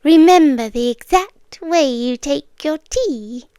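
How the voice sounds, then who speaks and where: A British woman acting in an ASMR roleplay as an obsessive, calmly possessive girlfriend, speaking intimately and often slipping into whispers. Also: high voice, takes it slowly, no room sound, clean studio sound. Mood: devoted, intense. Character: obsessive, soft-spoken yandere girlfriend, calmly possessive young woman captor.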